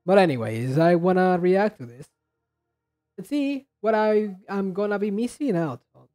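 A man chatting casually and haltingly as he hosts a reaction video, rambling in a low-key way. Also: expressive, darkish tone, soft background music. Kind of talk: casual chat, reacting to game trailers.

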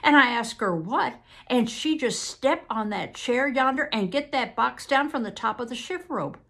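A woman's voice: fairly high pitch, expressive, vocal fry, bright, forceful tone, voice pushed up, exaggerated voice. Woman voicing a nervous, polite Southern young man. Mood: agitated, pleading. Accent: American South. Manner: halting, earnest, deferential, folksy. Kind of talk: audiobook character acting.

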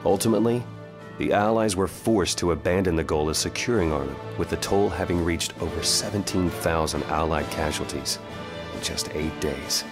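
A man narrating a war history for a game in a solemn, measured manner. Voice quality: deep. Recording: music behind the narration.

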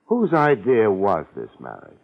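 A hard-boiled radio detective of the 1950s. His voice is deep and husky, with terse, sardonic delivery. The vintage recording is muffled. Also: huge pitch swings, a little slow, exaggerated voice. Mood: probing, sardonic. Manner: sardonic, terse, clipped sentences. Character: hard-boiled wisecracking male private detective. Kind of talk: 1950s radio detective drama acting and narration.

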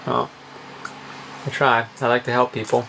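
A man's voice: mid-range voice, flat delivery, moderate pace, creaky, noisy background. A man in his thirties chatting casually while sailing, talking into a camera held at arm's length on deck. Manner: easygoing, playful. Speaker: sailing vlogger. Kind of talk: casual vlog chat. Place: sailboat deck outdoors.

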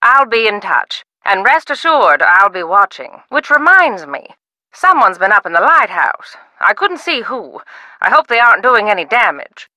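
A woman's voice: mid-range voice, sing-song, normal speed, pushed and bright, no echo, low-quality recording. An actress playing a theatrical, secretive older woman in a video game, grand and teasing.

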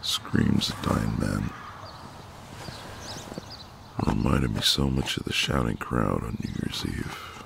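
A man playing a flirtatious, easygoing retired marine neighbour in an intimate audio roleplay, with a deep, gravelly voice and a casual drawl. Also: takes it slowly, pauses often, noisy background, exaggerated voice. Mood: somber, reflective.

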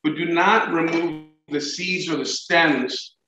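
Upbeat chef coaching in echoey kitchen. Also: male voice, on the high side, slow pace, bright, forceful tone, faint music behind. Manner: encouraging, coaching with frequent "okay?" and "right?" checks. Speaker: chef instructor. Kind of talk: cooking demo instruction. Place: home kitchen over video call.

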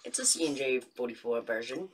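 Low, husky woman at a dining table. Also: animated, fairly quick, rough recording. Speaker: co-host. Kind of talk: casual taste-test chat. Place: dining room at home.

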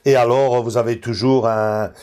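A man's voice: mid-range voice, flat delivery, normal speed, a little mellow. A middle-aged man, hosting a casual film-collecting chat in a living room, full of fillers and restarts. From Belgium. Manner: hesitant, many fillers and restarts. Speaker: host.

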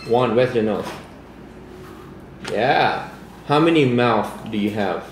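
A man's voice: mid-range voice, expressive, slight background noise. A man, patiently chatting at home and tutoring his son at the table. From Thailand. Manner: patient, quick agreeing fillers. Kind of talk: casual home chat and English practice. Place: indoors at a home dining table. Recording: echoey home room with music.

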